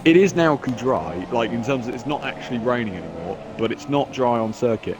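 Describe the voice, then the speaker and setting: A man's voice: mid-range voice, lively intonation, fairly quick, background noise. A man commentating a sim race in casual chat, sounding nasal from a cold, rambling and poking fun at himself. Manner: rambling, self-mocking, chatty.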